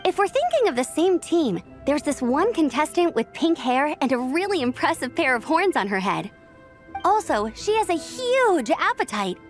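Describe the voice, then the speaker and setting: A woman's voice: sits high, sing-song, moderate pace. A woman playing a perky, friendly young contest staffer in a video game. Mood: upbeat. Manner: upbeat, chatty. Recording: faint music behind the voice.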